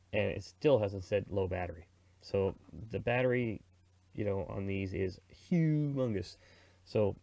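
A man's voice: lowish voice, vocal fry, darkish tone, no echo. A middle-aged man giving a casual, rambling product review and how-to talk, in an informal conversational way. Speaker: radio reviewer and presenter.

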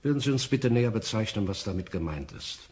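Radio play bureaucrat: male actor as a suspicious commissioner, low pitch, highly animated delivery, formal and pompous. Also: natural voice. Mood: officious, wary. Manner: formal, pompous, measured officialese. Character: officious, suspicious male tower authority commissioner. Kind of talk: German radio drama acting.

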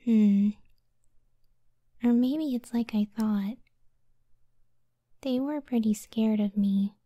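A woman plays a devoted giantess cyclops wife in an intimate ASMR roleplay. Her voice is very soft and mellow, breathy and often close to a whisper. She speaks slowly and tenderly, with a self-doubting gentleness, in a dry, close recording. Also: a little high, no room sound, lo-fi sound. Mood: wistful, insecure. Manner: slow, tender, unhurried.

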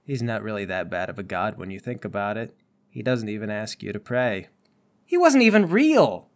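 A man's voice: on the low side, very animated, moderate pace. A man running a tabletop roleplaying game, narrating and bantering in an exasperated, sarcastic way. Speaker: game master.

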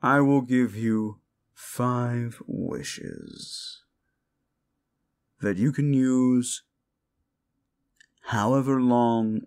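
A man's voice: on the low side, gravelly, a little mellow, heavily acted. A man voicing a condescending, seductive demon deal-maker in an audio roleplay, speaking in a theatrical, mocking drawl. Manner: slow, condescending, theatrical drawl with amused chuckles. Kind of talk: scripted audio roleplay addressed to the listener.

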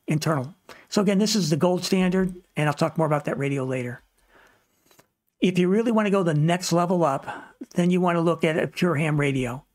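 A man's voice: on the high side, no room sound, clean studio sound. A man narrating an informal instructional slide presentation as host, plain-spoken and practical, offering advice. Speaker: host of a ham radio tutorial series.

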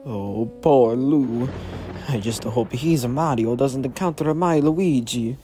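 A man voicing a gentlemanly, consoling cartoon character, measured and earnest. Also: medium pitch, close to an everyday voice. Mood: gentle sympathy. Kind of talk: comic dub character acting. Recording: music behind the voice.

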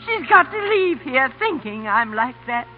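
A downtrodden, desperate middle-aged mother in old radio melodrama. She pleads slowly and haltingly, on a dull vintage recording with faint music. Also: sits high, sing-song. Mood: wistful, sorrowful. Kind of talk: 1930s radio melodrama acting. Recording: dull 1930s radio broadcast.

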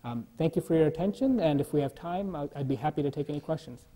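Guy giving a science keynote at a podium, kinda high voice but mellow, lots of uhs. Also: animated, slight background noise. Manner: measured, frequent "uh" fillers. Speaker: keynote speaker. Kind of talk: scientific conference talk. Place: large conference hall.

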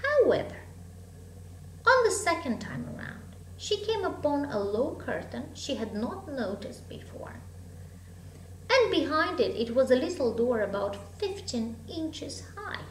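Woman storyteller, high and very lively, dramatic phrasing for children's fiction; homemade echoey recording. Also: a little slow. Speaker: storybook reader. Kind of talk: expressive children's story reading. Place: room at home with bookshelves. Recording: echoey room, hum and background noise.

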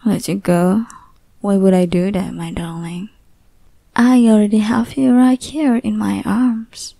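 A young woman voicing an obsessive, possessive lover in an ASMR roleplay, whispering softly with teasing menace. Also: mid-range voice, steady tone, measured pace, no echo. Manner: soft breathy whispering, teasing and threatening.

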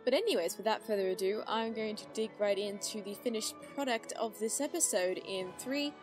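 Woman doing a chill reaction video voiceover, mid-pitched, faint music behind. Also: fairly quick. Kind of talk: casual reaction commentary. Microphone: voice-over with background music.

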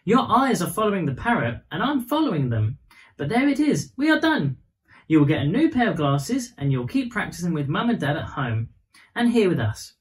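A man's voice: high voice, big pitch swings, moderate pace. A man voicing a kindly, reassuring woman eye doctor in a storybook. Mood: encouraging.

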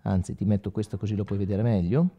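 A man's voice: fairly low pitch, fairly even intonation, quick pace, mellow, lo-fi sound. A man in his forties demonstrating gear as a guitar instructor, speaking conversationally straight to the viewer and checking in with short questions. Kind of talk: informal tutorial, explaining while demonstrating. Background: electric guitar playing with effects.

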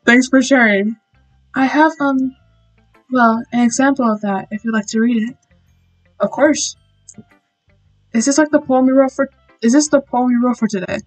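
A woman voicing a shy, bookish teenage girl, reading softly with small slips. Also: a little high, soft background music, natural voice, rough recording. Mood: timid. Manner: soft, halting reading with slips. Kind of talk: reading visual novel lines aloud.

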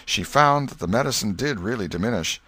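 A man reading an audiobook as expressive storybook narration. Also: fairly low pitch, brisk, slightly rough, no room sound. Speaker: audiobook reader.

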